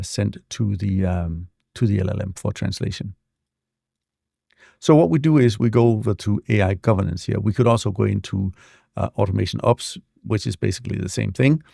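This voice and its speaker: A man walking through a software demo in a relaxed, explanatory way, with frequent fillers, speaking close to a desk mic. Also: on the low side, vocal fry, no echo. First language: not English. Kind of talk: screen-share tutorial walkthrough.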